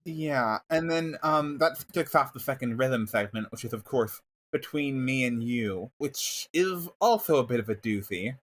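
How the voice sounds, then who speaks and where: A man's voice: mid-range voice, expressive, measured pace, few pauses. A man co-hosting a podcast with casual, sardonic commentary. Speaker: podcast co-host.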